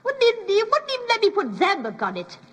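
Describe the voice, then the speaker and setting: A woman's voice: very high voice, huge pitch swings, fast, fairly bright tone, full character voice. An actress playing a grumbling, garrulous working-class British charwoman in an old-time radio drama, scolding and guileless. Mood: chatty, oblivious. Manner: garrulous, scolding, comically oblivious. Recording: reverberant vintage radio recording.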